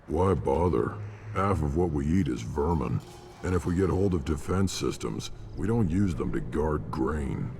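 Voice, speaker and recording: A gruff alien warrior commander from a video game, with a rock-bottom voice. He is blunt and battle-hardened, gravelly in tone, and heard in a long reverberant space with noise behind him. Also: very deep voice, animated, a little slow, a little mellow, exaggerated voice. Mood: gruff, matter-of-fact. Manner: blunt, matter-of-fact.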